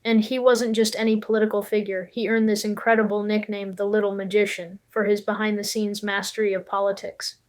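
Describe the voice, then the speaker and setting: A woman's voice: medium pitch, flat delivery, fairly quick, few pauses, darkish tone. A woman co-hosting a scripted history podcast, asking prompting questions and summing up points. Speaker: co-host.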